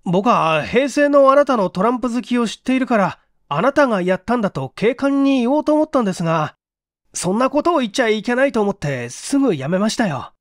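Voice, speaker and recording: A man acting a puzzled gentleman with swooping intonation. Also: very high-pitched, sing-song, brisk, no room sound, studio quality, voice pushed up. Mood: questioning. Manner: courteous, inquisitive, easily surprised. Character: Okamoto, polite, puzzled, devoted male apartment manager. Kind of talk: audio drama reading of a vintage mystery.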